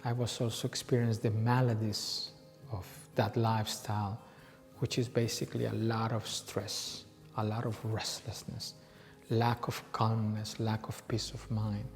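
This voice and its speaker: A man in his forties, originally from Peru, a yoga teacher telling his story in a slow, reflective way with frequent pauses. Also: fairly low pitch, little pitch movement. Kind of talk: personal storytelling. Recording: reverberant room with soft background music.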